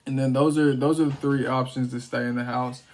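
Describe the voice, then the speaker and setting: A man with a fairly flat, mid-pitched voice talks in a casual, explanatory way. He sounds like a real estate cold caller walking someone through options in informal language. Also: a little fast, rough recording. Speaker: real estate wholesaler making cold calls. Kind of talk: casual chat and phone sales calls.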